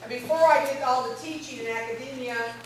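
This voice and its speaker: Woman lecturer, high voice, strong and chatty, picked up from far off in a big echoey hall. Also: fairly quick. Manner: strong voice, loose and chatty with many fillers. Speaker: gerontology lecturer. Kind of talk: informal symposium presentation. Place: large echoey college hall. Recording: very echoey hall, distant mic, faint noise.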